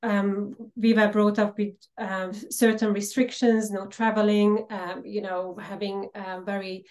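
A woman's voice: mid-range voice, flat delivery, a little mellow. Hungarian-Slovak accented woman, reflective. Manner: frequent "um" pauses, earnest and reflective. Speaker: podcast guest, empowerment coach. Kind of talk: personal storytelling interview.

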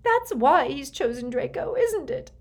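Storybook role: desperate noblewoman and mother , acted by a woman reader, high, tearful, sobbing, very animated delivery. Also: unhurried. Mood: tearful, pleading. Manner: sobbing, beseeching. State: crying.

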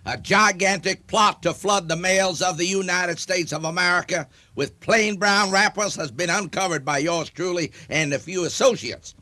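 An actor playing a bombastic, paranoid club leader who speechifies pompously and barks commands in a comic radio drama. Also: male voice, sits high, moderate pace, hardly any pauses, sharp tone. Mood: pompous, indignant.

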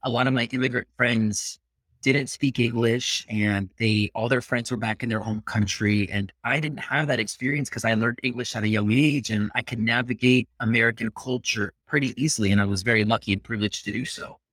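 A Peruvian-born man in his thirties, speaking as an interview guest. He talks in a reflective, earnest way at a middling pitch and now and then drops into Spanish. The recording is dry and close. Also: steady tone, a little fast, a bit bright, no echo. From Peru. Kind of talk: casual interview conversation.

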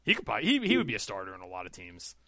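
A man hosting a casual podcast chat, energetic and laughing easily, with lots of fillers. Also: on the low side, very fast, dry recording, clean studio sound. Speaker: podcast host.

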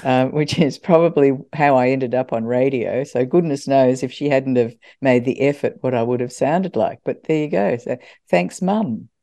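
A woman's voice: rumbling low, normal speed, hardly any pauses, mellow. An older woman from Scotland, a former radio host, chatting in an interview and laughing at her own stories. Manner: chatty, laughs often, self-deprecating. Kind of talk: interview, anecdotal storytelling.

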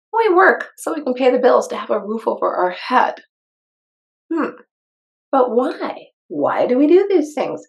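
A woman hosting a personal development show, reading from a book aloud and adding conversational commentary, with rhetorical questions and emphasized key phrases. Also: fairly high pitch.

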